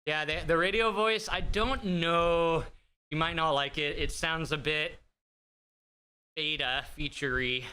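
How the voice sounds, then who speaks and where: A man hosting a live stream, chatting casually with viewers in a bright, higher-pitched voice, rambling and self-deprecating with lots of fillers. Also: slow, smooth. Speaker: livestream host. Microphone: desk streaming mic through an audio mixer.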